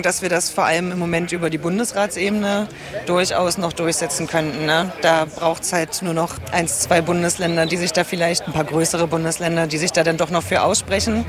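Male activist, high voice, informal conversational interviewee, recorded outdoors among a noisy crowd. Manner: conversational, uses tag questions. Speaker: political campaign activist being interviewed. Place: outdoors on a busy town square.

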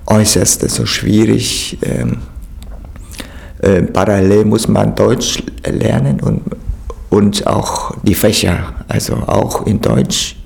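Very gravelly, slow man with Vietnamese accent. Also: on the low side, steady tone, a little mellow. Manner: halting, frequent hesitations. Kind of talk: spontaneous interview account.

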